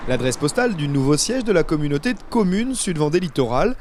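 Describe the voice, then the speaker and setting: Male reporter for scripted news voice-over, slightly high register, strongly varied melody. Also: big pitch swings, quick pace, darkish tone.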